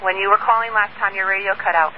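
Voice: mid-range voice, steady tone, quick pace, sharp tone. An emergency dispatcher giving brief procedural read-backs over a two-way radio. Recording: slightly noisy scanner radio.

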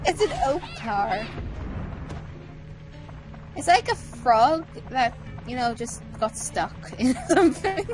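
High-voiced giggly woman gamer. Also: sing-song, slow pace, pauses often, soft background music, rough recording. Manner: giggly, playful, acts out silly lines. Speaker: co-commentator on a game playthrough. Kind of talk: casual gameplay chat.

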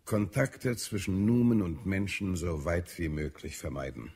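An actor playing a stern, authoritarian official who speaks formally in a radio drama. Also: male voice, sits low, animated, a little slow, a little mellow, slightly rough, full character voice.

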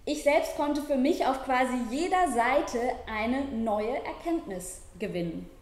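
A young woman, a university lecturer, presenting and then reading an essay aloud in a measured, careful way, seated at a table in an ornate room. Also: high voice, lively intonation, a little mellow. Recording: echoey room.

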